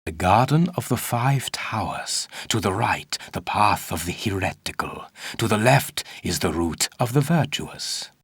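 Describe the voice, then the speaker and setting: An older, stern archaeologist guides a student in a video game. His voice is low and rolls widely in pitch. He is formal, pompous and condescending, echoing in a long cavernous reverb. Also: lively intonation, unhurried, a bit bright. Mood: grave, imposing. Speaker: mentor and guide. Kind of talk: video game dialogue and tutorial instruction.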